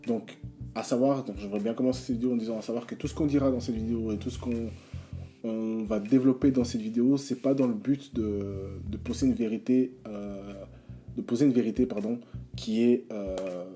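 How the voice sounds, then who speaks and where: Dark, halting French-speaking man. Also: medium pitch, fairly even intonation, faint music behind. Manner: halting, many fillers and restarts. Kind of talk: hesitant casual presentation.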